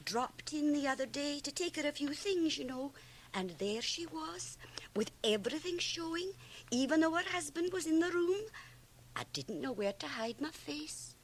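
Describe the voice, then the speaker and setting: An actress playing a prim, disapproving small-town gossip, sanctimonious and easily scandalised, in a radio drama. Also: female voice, high-pitched, lively intonation, normal speed. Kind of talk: 1980 radio drama acting. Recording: noisy cassette copy of radio drama.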